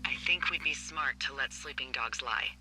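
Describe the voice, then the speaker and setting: An actress playing a wry, worried fire lookout in a naturalistic game style, sardonic, speaking over a two-way radio. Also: female voice, a bass voice, moderate pace, sharp tone, heavily acted. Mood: dry, guarded. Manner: sardonic, morbidly joking. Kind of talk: naturalistic video game acting. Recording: noisy walkie-talkie radio transmission.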